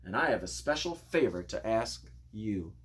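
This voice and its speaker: A man's voice: fairly low pitch, very animated, normal speed, husky. A man voicing a gracious, grateful town mayor in a children's storybook, with a formal speechmaking manner. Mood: pleased.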